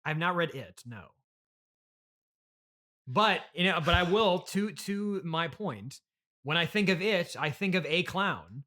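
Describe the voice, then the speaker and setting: A man's voice: a little high, lively intonation, slow, pauses often, pushed and bright. A man hosting a casual comedy interview, speaking in a hesitant, flustered way with frequent stammering. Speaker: podcast host and interviewer.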